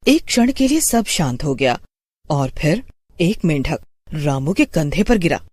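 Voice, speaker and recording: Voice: mid-range voice, sing-song, a little slow, a little mellow, no echo. A narrator reading a scripted horror story.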